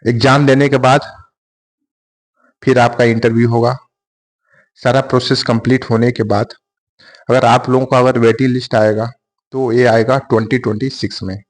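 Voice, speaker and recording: A man's voice: medium pitch, little pitch movement, moderate pace, long pauses. A man hosting an exam coaching channel, explaining in a loose, conversational way with frequent fillers and restarts. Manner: conversational, many fillers and restarts, addresses viewers as guys. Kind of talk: informal exam-update explainer.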